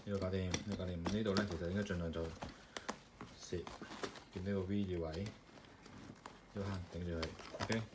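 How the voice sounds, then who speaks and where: A man with a low voice talks through an assembly in a loose, step-by-step way, with plenty of fillers. Steady noise and the click of plastic parts run under his voice. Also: brisk, long pauses. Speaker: maker demonstrating his product. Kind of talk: casual hands-on assembly demonstration.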